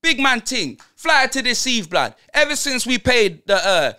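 A man's voice: very high voice, very animated, measured pace, bright, forceful tone, clear, resonant tone, heavily acted. A man playing a brash young London roadman football fan, ranting in heavy slang and tagging lines with blood and fam. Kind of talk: comedic football rant.

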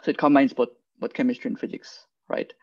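A man's voice: medium pitch, fairly even intonation, quick pace, a little mellow. A young man from Nepal giving a slide talk over a video call, hesitant and repeating words, with "right?" tagged onto his phrases. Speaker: guest speaker, quantum engineer. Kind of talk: presenting slides in an online talk. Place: indoor room, video call. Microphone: computer mic on a video call. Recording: dull lo-fi video call audio.

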